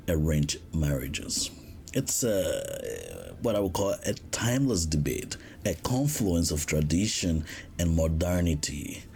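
Podcast host, male, very low voice, unhurried, thinks aloud with pauses and restarts. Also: a little mellow. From West Africa. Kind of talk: casual podcast monologue.

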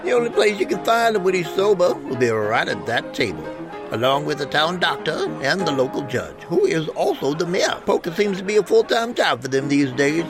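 An actor playing a chatty, darkly joking saloon local in a western audio drama, sardonic and knowing. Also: male voice, fairly high pitch, sing-song, brisk. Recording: music behind the voice.

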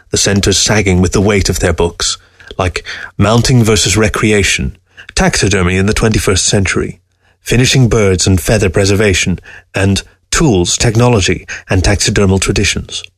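A man's voice: lowish voice, no echo. A man reading a short story aloud as scripted literary narration.

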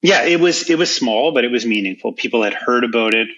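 A man's voice: mid-range voice, animated, fast, fairly bright tone, dull audio. A man with a Canadian accent answering interview questions as a company founder, explaining things informally through anecdotes in a conversational way. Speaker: interview guest, software company founder and chief executive.

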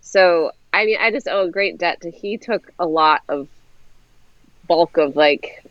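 A woman's voice: lowish voice, measured pace, no room sound. A woman guest talking through co-writing in a casual interview over a video call, thoughtful and hedging with fillers. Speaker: guest, co-author. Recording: dull dry video-call audio.

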